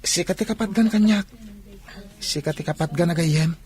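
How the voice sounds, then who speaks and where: A man's voice: on the high side, moderate pace, a little mellow, lightly acted. A man acting as an eager, obliging young village athlete in a radio drama, with an Ilocano Filipino accent. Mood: earnest.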